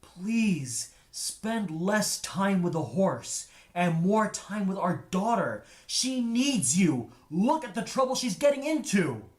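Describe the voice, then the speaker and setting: A man voicing a worried, desperate father pleading in drawn-out, emphatic words, in a story reading. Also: high-pitched, sing-song, voice pushed up, full character voice. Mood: desperate, imploring. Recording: echoey room.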